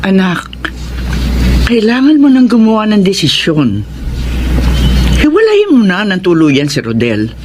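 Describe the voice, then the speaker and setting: A woman's voice: mid-range voice, huge pitch swings, a little slow, long pauses. An actress playing a protective, insistent middle-aged mother in a Filipino radio drama. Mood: firm, urging. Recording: noisy ambience behind dialogue.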